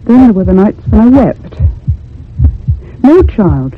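A thoughtful young woman telling a radio ghost tale. She sounds grave, troubled and haunted, with measured phrasing. The sound is muffled and telephone-thin, with faint noise underneath. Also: medium pitch, big pitch swings. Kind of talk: old-time radio drama narration. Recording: muffled phone-like radio recording with hum.

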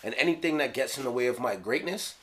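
A Jamaican man in his thirties casually explaining his own rap lyrics in a small room, playful and slangy, sometimes dropping to a hush. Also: medium pitch, animated, fast talker. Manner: playful, slangy, drops into hushed asides. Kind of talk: casual solo video commentary. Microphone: studio mic on stand.

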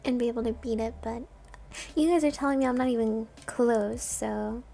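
A woman's voice: on the high side, moderate pace, creaky, warm tone. A woman streamer giving casual live gaming commentary, muttering reactions to herself and chatting with viewers.

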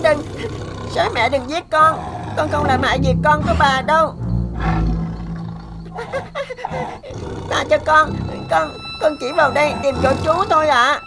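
Cartoon little boy: high voice, innocent, expressive, timid, loud music behind. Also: normal speed. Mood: frightened pleading. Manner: hesitant, pleading, expressive. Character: young lost boy, innocent, timid and wide-eyed. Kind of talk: cartoon dubbing.

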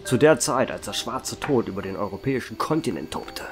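A man playing an ancient vampire barkeeper in a horror radio play. He tells his dark past calmly and dryly, his voice rising and falling a lot. Background music runs clearly under him. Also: lowish voice, a little fast, close to an everyday voice. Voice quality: calm, dry, wry storytelling. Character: ancient male vampire barkeeper, calm and dry. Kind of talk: amateur horror audio drama. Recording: music behind the voice.

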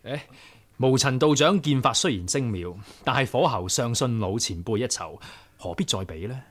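An actor playing a courteous, persuasive young rebel leader in a Hong Kong Cantonese radio drama. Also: male voice, medium pitch, lively intonation, normal speed, lightly acted.

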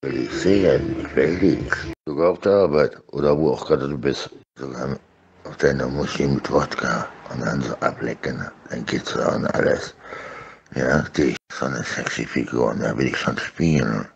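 A middle-aged man with an extremely low voice talks in an insistent, repetitive ramble. His monologue is sexually suggestive, and the recording is dull and lo-fi, as if from a phone held close. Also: moderate pace, creaky, husky. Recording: dull lo-fi clips.

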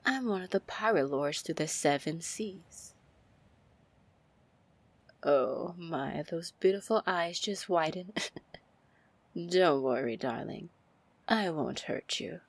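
A seductive female pirate lord, acted by a woman, speaks slowly and rather low, close and dry. She is commanding yet teasing, slipping toward breathy near-whispers in an ASMR fantasy roleplay. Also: lively intonation, no room sound, exaggerated voice. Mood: flirtatious, amused. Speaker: roleplay audio performer.

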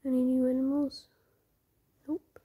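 A woman with a croaky voice, chatting in half-whispers while commenting on a mobile game she plays. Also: high voice, little pitch movement, unhurried, dark tone. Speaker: gameplay commentator.

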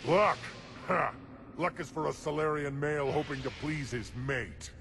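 Boastful alien warrior, gruff, bright forceful tone, somewhat high, music behind. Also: male voice, moderate pace, a little hiss or hum. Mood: gruff bravado. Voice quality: gruff, proud, blunt. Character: boastful battle-hardened alien warrior commander. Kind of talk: video game cutscene acting.

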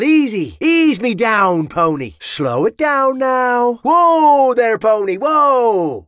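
A cheeky Irish sellsword from a medieval battle game. His delivery is theatrical and brash, full of slang, with big swings in pitch. He takes his time over the words, and the sound is dry and close. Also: a bit bright, no room sound, exaggerated voice. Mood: exasperated, coaxing. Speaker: mercenary soldier voice in a video game. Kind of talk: video game battle barks.

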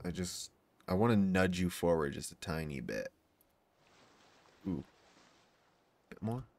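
Hoarse man rambling over gameplay. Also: sits low, very animated, measured pace, darkish tone. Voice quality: slightly hoarse. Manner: rambling, talks to himself and his creatures. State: losing his voice, sore throat. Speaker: gaming YouTuber. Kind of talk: casual gameplay commentary.

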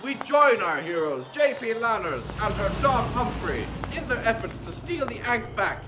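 High-pitched theatrical adventure narrator acted by a man. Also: sing-song, normal speed, background noise. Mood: dramatic. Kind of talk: dramatic scripted adventure narration, old-time film announcer. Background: loud music.

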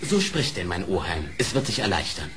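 An actor playing an earnest badger, the fox's nephew, instructing solemnly in a radio play. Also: male voice, deep voice, very animated, fairly quick. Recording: old radio play record, noisy.

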